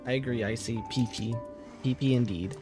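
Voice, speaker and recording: A woman's voice: a bass voice, normal speed, over music. A woman casually commentating on a game live, sometimes reading choices aloud. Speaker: game streamer.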